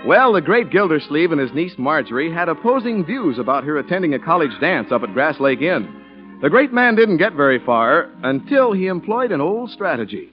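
A man's voice: fairly high pitch, big pitch swings, normal speed, soft background music. A man announcing in scripted 1940s radio style, polished and emphatic. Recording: muffled vintage radio recording.

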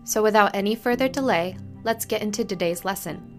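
A woman hosting a podcast lesson on Japanese business culture. She speaks in a clear, friendly teaching manner and says the Japanese phrases slowly and carefully. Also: mid-range voice, music in the background.

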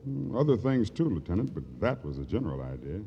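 An American actor playing an uneasy, evasive booth clerk in a 1950s radio crime drama, speaking in a hesitant, folksy way. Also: male voice, on the low side, sing-song, quick pace. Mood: defensive, rueful. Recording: noisy, muffled vintage radio recording.

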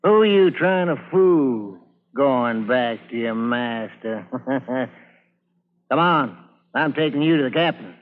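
Slow, bullying Confederate sentry. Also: male voice, mid-range voice, lively intonation. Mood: mocking. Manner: commanding, folksy, taunting. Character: gruff, bullying Confederate soldier on sentry duty. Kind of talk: 1950s radio drama acting. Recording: muffled old radio broadcast.

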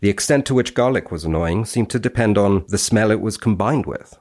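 A man speaking as a podcast guest, wry and expressive, with a dryly playful touch. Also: on the low side, a little fast. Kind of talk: dramatic reading and wry conversation.

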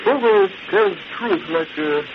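An actor playing a courteous, formal and dryly witty valet sidekick in an old-time American radio drama. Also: male voice, high voice, expressive, slow. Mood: polite, amused. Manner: formal, deferential, clipped phrasing. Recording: muffled, noisy vintage radio recording.

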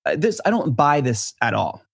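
A man's voice: on the high side, big pitch swings, moderate pace, creaky, slightly rough. Skeptical man chatting about gossip. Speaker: co-host of a celebrity gossip show. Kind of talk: casual reality-TV gossip chat.

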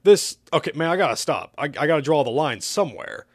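A man's voice: a little high, big pitch swings, a little fast, dry recording, clean studio sound, pitched above the performer's own voice. A man voicing an exasperated, skeptical hero in a comic story, protesting bluntly and with disbelief to his nemesis. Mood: fed up.

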